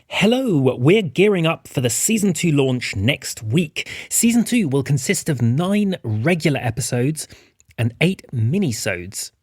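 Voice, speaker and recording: A man's voice: fairly high pitch, big pitch swings, a little slow, a little mellow, husky, no echo, clean studio sound. A man hosting a behind-the-scenes podcast as one of its producers, talking casually.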